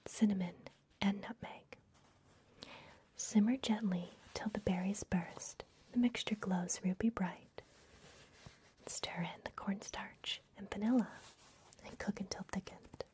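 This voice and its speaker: A woman whispering gently and unhurriedly in a breathy voice, playing a kindly vampire librarian in an ASMR fantasy roleplay. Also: mid-range voice, steady tone, takes it slowly, long pauses. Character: kindly vampire librarian hosting a human guest. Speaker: ASMR performer.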